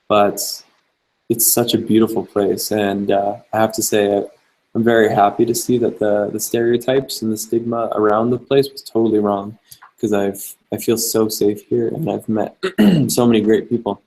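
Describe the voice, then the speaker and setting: Low gravelly young man chatting casually. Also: rough recording. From Canada. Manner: relaxed and chatty, many fillers, reads questions aloud. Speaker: travel vlogger. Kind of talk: casual livestream chat answering viewer questions.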